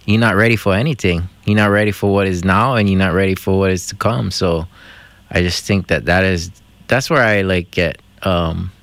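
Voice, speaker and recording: A man from Hawaii hosting a community radio talk show, speaking in a reflective, halting way with many fillers. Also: low-pitched, fairly bright tone, no echo. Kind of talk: casual radio conversation.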